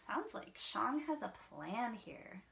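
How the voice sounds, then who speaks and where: A woman storyteller with a fairly high, bright voice. She reads slowly for children, in a dramatic, teacherly way, stepping out of the tale for short asides. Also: sing-song, vocal fry. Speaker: read-aloud storyteller.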